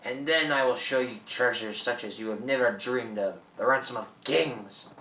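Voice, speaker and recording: An actor playing a boastful, menacing old pirate in a theatrical radio play. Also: male voice, medium pitch, normal speed, pushed and bright, a little hiss or hum. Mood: gloating. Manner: theatrical, gloating, cackling. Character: boastful old French pirate, greedy and menacing, newly revived. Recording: roomy, distant microphone.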